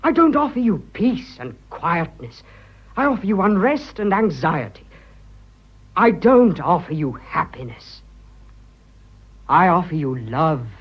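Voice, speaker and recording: An ardent, brash young British gentleman in a radio play, his fairly high, husky voice swinging widely in pitch. He is blunt and teasing, with clipped upper-class slang. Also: big pitch swings, unhurried, long pauses, heavily acted. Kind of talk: radio drama acting. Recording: dull, muffled radio drama audio.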